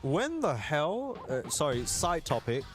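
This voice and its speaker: A man's voice: fairly high pitch, sing-song, a little slow, background noise. A man commentating live on an esports match, moving between conversational analysis and play-by-play, with music behind him.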